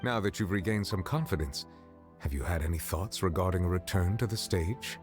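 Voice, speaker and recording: Actor as composed formal judge, deep low voice, measured, courteous, video game. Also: male voice, natural voice. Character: stately, composed chief justice, calm and formal. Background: game music.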